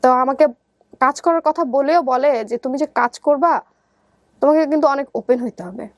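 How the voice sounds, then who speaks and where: A young Bangladeshi woman with a high voice, recorded close and dry. She tells her life story conversationally, slipping into quoted dialogue as she goes. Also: moderate pace, dry recording. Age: young adult. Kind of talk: personal storytelling in an interview.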